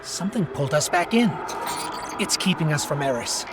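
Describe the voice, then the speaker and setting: A man's voice: on the high side, animated, normal speed, loud music behind the voice, natural voice. A man playing an anxious, earnest little robot companion in a video game, with a worried, urgent way of talking.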